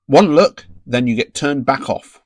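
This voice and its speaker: A woman voicing an earnest, anxious, brainy teenage boy in a fan fiction story, with a husky tone. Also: a bass voice, measured pace, no echo, pitched below the performer's own voice. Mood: determined. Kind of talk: amateur fan fiction character reading.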